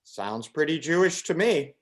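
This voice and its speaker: A woman performs an amateur comic script with swooping intonation. She plays a sad fast-day holiday that pokes fun at itself. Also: deep voice, animated. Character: mournful, self-mocking fast-day holiday. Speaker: performer in a congregation's reader's theater.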